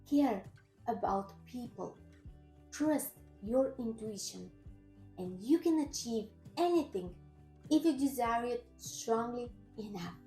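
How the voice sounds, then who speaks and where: A Bulgarian-accented woman in her thirties speaks in a high, soft-toned voice, earnest and measured as she tells her story to camera. The room rings with echo, and light music sits underneath. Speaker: personal trainer and nutritionist. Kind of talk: personal story vlog. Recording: roomy echo with faint music.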